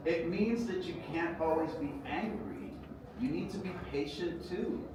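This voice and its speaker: A man voicing a patient, loving storybook grandmother who speaks gently and slowly to teach a child. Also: on the high side, close to an everyday voice. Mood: patient, tender. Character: patient, loving grandmother of a hot-tempered boy. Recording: echoey room, distant mic, background noise.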